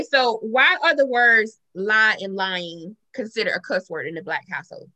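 A woman moderates a casual group discussion in a high, bright voice. Her pitch swings widely as she talks, and her manner is blunt, slangy and animated. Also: poor audio. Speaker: host moderating a panel discussion. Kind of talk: lively casual group chat.

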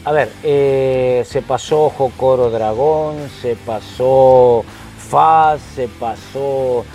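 A man's voice: mid-range voice, drawn-out words, warm tone, faint music behind. An older man and former footballer, co-hosting a casual sports chat, teasing and emphatic, often repeating himself.

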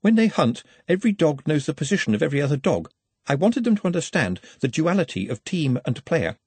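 A man's voice: on the high side, big pitch swings, a little fast, darkish tone, breathy edge, no echo, pitched above the performer's own voice. A man voicing an earnest, learned male servant in an audiobook, nervous and deferential, with precise, formal speech.

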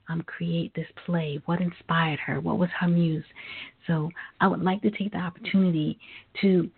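Woman radio host, low-pitched, gravelly creak, halting and reflective, tinny phone-like sound. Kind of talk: live internet talk radio hosting. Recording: phone-like lo-fi internet radio line.

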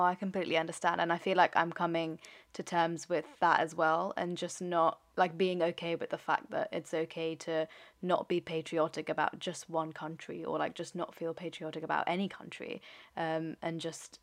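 Fairly low-pitched woman podcast host. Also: little pitch movement, moderate pace. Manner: friendly and affirming, with filler words. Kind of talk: casual interview questions.

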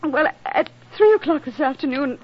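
Casting: overwrought young bride, pleading, high and swooping voice, vintage radio melodrama. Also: female voice, lively intonation, moderate pace. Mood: distraught. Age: young adult. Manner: breathless, pleading, stammering. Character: distraught young jilted bride pleading for help. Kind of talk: 1940s radio melodrama acting. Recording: dull lo-fi 1940s radio recording.

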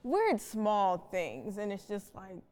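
Slow, halting teenage girl in a reverberant hall. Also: medium pitch, big pitch swings, darkish tone. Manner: informal, halting. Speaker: student panelist. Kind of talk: casual panel answer. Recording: echoey hall with long reverb.

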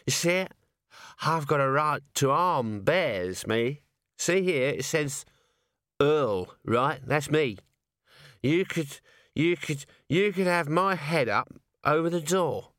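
Guy doing a sloshed scruffy watchman, slurry and slow, voice pitched up, bragging about his blue blood. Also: animated, fairly bright tone, no room sound, clean studio sound. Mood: drunk boasting. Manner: slurred, drawn-out, boastful drunken talk. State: drunk. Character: drunk, swaying scruffy male watchman boasting of noble blood. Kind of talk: audiobook character voice.

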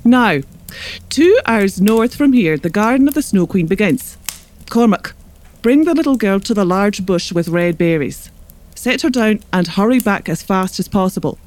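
Atriz como sábia irlandesa, muito expressiva. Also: female voice, mid-range voice, lively intonation, normal speed, darkish tone, lightly acted. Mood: grave, frank. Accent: Irish. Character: shrewd, hospitable northern wise woman, calm and frank. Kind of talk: children's audio drama acting.

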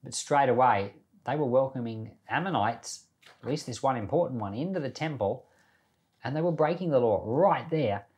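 A man's voice: medium pitch, expressive, moderate pace, darkish tone. A man teaching the Bible informally, speaking conversationally and putting questions to his listeners as he explains. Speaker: Bible teacher and podcast host.

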